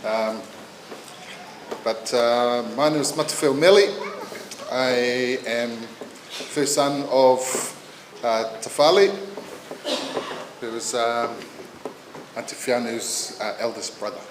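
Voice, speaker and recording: A man in his fifties speaks at a funeral in a voice of middle pitch, pausing and searching for each phrase. His words come heartfelt and unpolished, and the room around him sounds echoey with a faint hiss underneath. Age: 50s. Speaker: family member giving a eulogy. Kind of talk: funeral eulogy. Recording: roomy echo.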